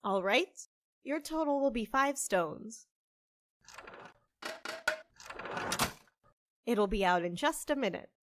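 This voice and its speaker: Wry, slow-speaking stressed barista. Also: female voice, on the high side, lively intonation. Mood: polite, flustered. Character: stressed, stubborn half-human young-looking woman barista, grieving, wry. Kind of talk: audio drama dialogue.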